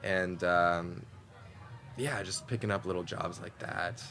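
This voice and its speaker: Low-voiced man telling lively stories. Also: slow pace. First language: Mandarin. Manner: lively storytelling, many likes and you-knows. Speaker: actor guest. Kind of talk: casual interview chat.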